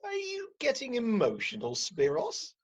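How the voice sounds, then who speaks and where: A woman playing the firm, officious head of a counselling team in a radio comedy, with a husky, authoritative voice. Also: a little high, huge pitch swings, unhurried, exaggerated voice. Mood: wry. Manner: authoritative, managerial. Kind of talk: radio play acting.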